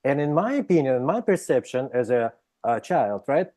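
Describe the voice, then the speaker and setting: A man from Russia with a Russian accent, speaking as a psychologist guest in an interview, explaining with many pauses, restarts and "right?" checks. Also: medium pitch, big pitch swings, dark tone. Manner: hesitant, frequent "right?" tags and restarts. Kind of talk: interview conversation.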